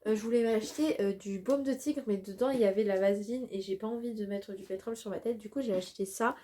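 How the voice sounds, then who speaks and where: A woman's voice: medium pitch, fast talker, mellow. A woman in her twenties chatting casually to camera at home in a vlog, gushing and using many fillers. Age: 20s. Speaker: vlogger and design student.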